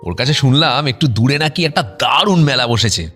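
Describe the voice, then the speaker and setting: Breezy, animated young guy. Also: medium pitch. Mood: breezy, hurried. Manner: breezy, colloquial, hurried. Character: breezy young man, keen amateur photographer, off to fair. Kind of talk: Bengali audio drama acting, casual banter. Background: music.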